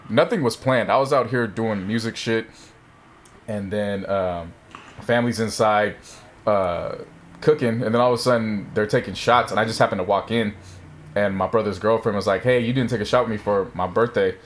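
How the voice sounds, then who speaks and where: A man's voice: on the low side, normal speed, a little hiss or hum. A man co-hosting a podcast in casual chat, easygoing and rambling, with many fillers. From part Mexican family. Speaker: podcast co-host.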